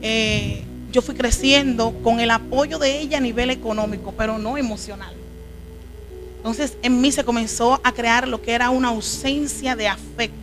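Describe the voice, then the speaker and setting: Dominican woman, earnest testimony, slightly high voice, background music. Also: normal speed. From Dominican Republic. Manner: earnest, emotional storytelling. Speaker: guest, preacher. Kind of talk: testimony interview.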